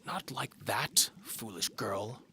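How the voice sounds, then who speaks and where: A man's voice: lowish voice, lively intonation, slow, pushed and bright, a little hiss or hum, pitched above the performer's own voice, heavily acted. A man voicing a pompous, snappish old duke and alchemist in an audio drama. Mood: snarling. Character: stern, haughty old duke and alchemist, male.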